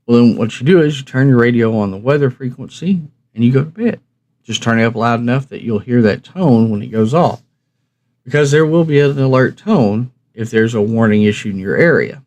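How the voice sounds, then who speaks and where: A man's voice: mid-range voice, lively intonation, a little fast, a little mellow. A man hosting a review channel, chatting casually and instructively to viewers in a rambling, folksy, plain-spoken way. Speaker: host of a radio review channel.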